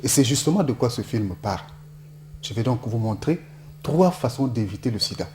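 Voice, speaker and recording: A man's voice: mid-range voice, expressive, moderate pace, a little mellow, slight background noise. A man presenting an educational film to viewers in an emphatic, didactic manner, using rhetorical questions.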